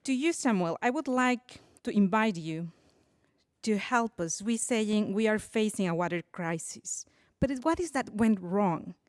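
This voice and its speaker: A woman's voice: mid-range voice, animated, unhurried, no room sound. Woman moderating, dry close sound. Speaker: session moderator. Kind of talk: formal panel introduction.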